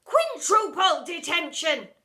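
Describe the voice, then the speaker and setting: A woman voicing a stern, cruel schoolmistress in a storybook, with imperious, drawn-out, emphatic pronouncements. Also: very high-pitched, big pitch swings, pushed and bright, voice pushed up, heavily acted. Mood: relentlessly punitive. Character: stern, cruel, shrieking schoolmistress who relishes punishing children. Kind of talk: storybook character voice in read-aloud.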